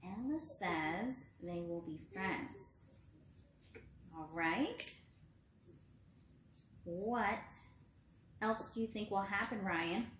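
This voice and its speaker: A woman's voice: medium pitch, very slow, long pauses, fairly bright tone, a little hiss or hum. A woman in her twenties, an encouraging teacher reading aloud and putting questions to young children. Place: small room at home, indoors. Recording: roomy home recording.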